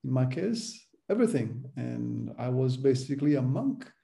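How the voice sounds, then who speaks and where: A man's voice: medium pitch, big pitch swings, moderate pace, creaky, dark tone. A middle-aged man hosting a podcast, earnest, sometimes stuttering on purpose. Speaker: podcast host. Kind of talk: conversational interview.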